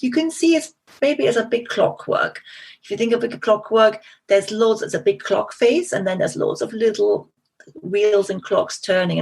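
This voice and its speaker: A middle-aged woman from Austria with an Austrian German accent, a guest telling her story in a relaxed interview with many small restarts and fillers. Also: mid-range voice, gravelly, slightly rough. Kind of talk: interview conversation.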